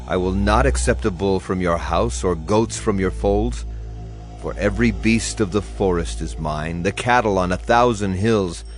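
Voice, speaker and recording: A man giving a solemn, declamatory dramatized reading of psalms as a scripture narrator. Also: lowish voice. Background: music.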